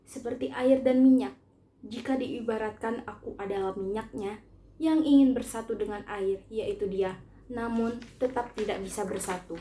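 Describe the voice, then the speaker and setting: A teenage girl, a student reading a short story aloud in an expressive, earnest first-person style. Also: fairly high pitch, moderate pace, reverberant, a little hiss or hum. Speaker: student narrator. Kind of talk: scripted short story narration, read aloud.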